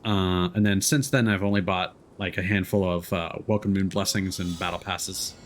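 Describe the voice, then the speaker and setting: A man doing casual, chatty live game commentary, quick to react out loud. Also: low voice, fairly even intonation, slight background noise. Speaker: game livestreamer, host.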